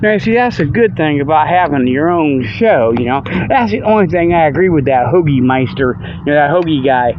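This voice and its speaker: A man with a notably high voice hosts a comedic show in a loose, folksy ramble full of verbal tags. His delivery is casual and homespun, like an improvised mock ad. The audio is dull and lo-fi, with faint noise behind him. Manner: folksy, rambling, ungrammatical, peppered with "okay" and "you know". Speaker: host of a comedic radio-style show. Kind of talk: rambling mock sponsor read, casual comic monologue.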